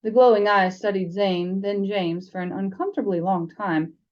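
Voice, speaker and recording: A woman's voice: mid-range voice, steady tone, moderate pace, lo-fi sound. A woman reading a story aloud as scripted audiobook narration. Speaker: audiobook reader.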